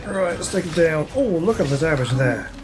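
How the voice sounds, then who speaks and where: A man giving casual commentary over his own gameplay with relaxed, reactive asides. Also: on the high side, fairly quick, over music. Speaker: game streamer.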